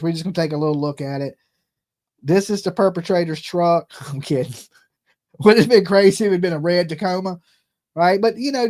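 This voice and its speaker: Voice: medium pitch, darkish tone. A live call-in stream host of ambiguous sex, chatting casually in crude, mocking, profane slang and often tagging sentences with "right?" or "okay". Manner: peppers talk with "right?" and "okay".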